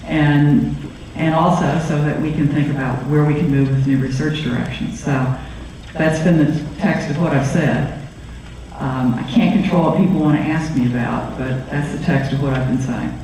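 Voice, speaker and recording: A man's voice: a little high, fairly even intonation, moderate pace, darkish tone. Man speaking from afar in echoey hall. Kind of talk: formal meeting remarks. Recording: echoey hall, distant mic, faint noise.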